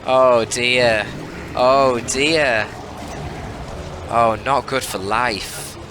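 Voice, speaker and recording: A man's voice: medium pitch, steady tone, drawn-out words, noisy background. A man giving analytical, informal live game commentary in a casual, mildly sweary, exclamatory way. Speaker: esports caster, channel host.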